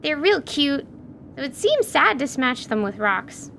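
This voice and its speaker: A woman plays a cheerful big sister in a radio play. Her voice sits high and has a crackly edge, and she chats casually and protectively. Faint noise lies behind the recording. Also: sing-song, unhurried, vocal fry, fairly bright tone, heavily acted. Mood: playful. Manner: casual, chatty. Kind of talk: audio drama acting.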